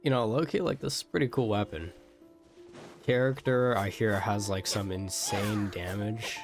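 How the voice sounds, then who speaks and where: Young guy doing casual game commentary, creaky lowish voice, slangy, music going behind him. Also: moderate pace. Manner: offhand, slangy. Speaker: game streamer.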